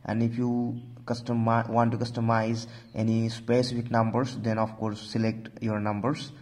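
Man, medium pitch, tech tutorial host, informal step-by-step narration, recurring stock phrases. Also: monotone, normal speed, darkish tone.

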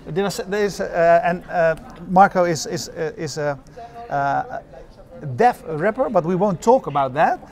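Older man, halting interviewer. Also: sits high, creaky, a little mellow. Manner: halting, many fillers and restarts. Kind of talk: casual festival interview. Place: outdoor courtyard at a busy event.

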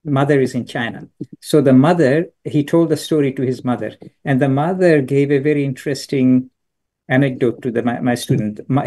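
A middle-aged man from Bangladesh teaches through stories on a video call. His voice is warm and mellow, sitting at a middle pitch. He pauses and restarts phrases as he thinks aloud. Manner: thoughtful, explains with anecdotes, frequent restarts. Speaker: business school professor. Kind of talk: answering questions in an online lecture.

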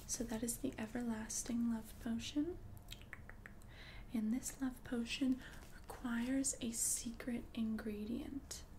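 A woman playing a gentle, helpful witch shopkeeper in a soothing, soft-spoken ASMR roleplay, hushed and close to the microphone. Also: on the high side, steady tone, slow. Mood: gentle, attentive. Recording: clear background noise and ambience.

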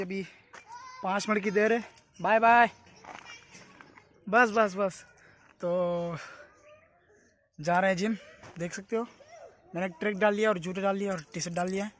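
High-pitched young man vlogging. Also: steady tone, normal speed, pauses often. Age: young adult. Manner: informal, addresses viewers as friends. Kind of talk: casual vlog chat to camera. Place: in and around a home, indoors and outdoors. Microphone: phone at arm's length. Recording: noisy handheld phone recording.

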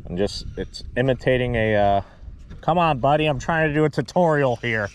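A young man hosting a fishing vlog, chatting casually and playfully with excited exclamations while wading outdoors. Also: medium pitch, moderate pace, a little hiss or hum. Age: young adult. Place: outdoors wading in open shallow water. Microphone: action camera, handheld or body-worn.

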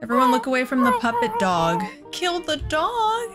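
A woman's voice: sits high, wildly swooping pitch, normal speed, loud music behind the voice. A woman hosting a casual let's play, with an exasperated, sarcastic way of talking. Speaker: gaming host.

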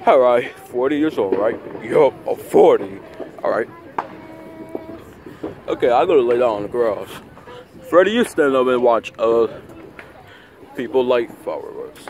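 A man improvising a plush toy skit outdoors, talking in a rambling, repetitive, halting way into a handheld phone. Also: medium pitch, expressive. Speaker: puppeteer of plush toys. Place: outdoors on grass at night. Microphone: handheld phone camera close by. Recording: noisy outdoor phone video recording.

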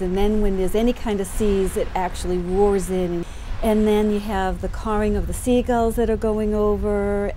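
A woman's voice: mid-range voice, dark tone, a little hiss or hum. A middle-aged woman with a Boston accent, a lighthouse keeper speaking in a heartfelt, plain-spoken way in a reflective documentary interview.

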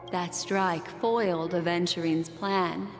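A woman voicing a mysterious fortune-teller in a video game, telling a slow, soothing bedtime-story recap. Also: lowish voice, fairly even intonation. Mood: soothing, enigmatic. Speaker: game character narrating a recap. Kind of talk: video game cutscene voice acting. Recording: game audio with long reverb and music.

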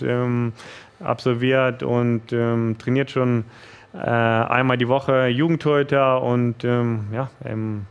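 A young man from northern Germany, speaking in a smooth, clear voice as a studio interview guest. He talks haltingly, pausing often on fillers, into a headset microphone in a TV studio. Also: medium pitch. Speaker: goalkeeper guest.

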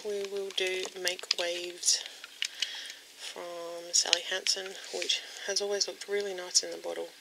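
A young woman with a croaky voice casually showing nail polish at her desk at home, rambling with lots of ums. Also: fairly low pitch, level tone, a little slow. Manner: chatty, rambling with many ums. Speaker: beauty vlogger. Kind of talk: casual product demo. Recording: home recording with clear background noise.

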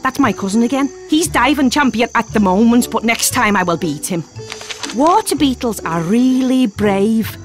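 Woman as an excitable high-pitched little water beetle. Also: normal speed, faint music behind, lightly acted. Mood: boastful. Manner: excitable, chatty, addressing the viewer. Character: eager, boastful young water beetle. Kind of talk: children's cartoon character narration.

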